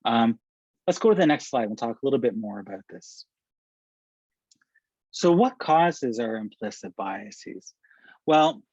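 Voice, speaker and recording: A man's voice: medium pitch, darkish tone, no echo. A man giving a webinar presentation, talking conversationally and checking in with "okay" and "right?". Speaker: workshop presenter. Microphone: video call. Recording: dull lo-fi video call audio.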